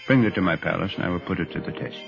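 A man's voice: sits low, very fast, creaky, highs cut off, music in the background. A man voicing a stern, commanding king in a storybook telling, with an imperious manner.

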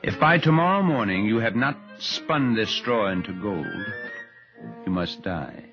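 A man's voice: lowish voice, expressive, a little slow, dull audio, music in the background. A man voicing a stern, commanding king in a storybook telling, with an imperious manner.

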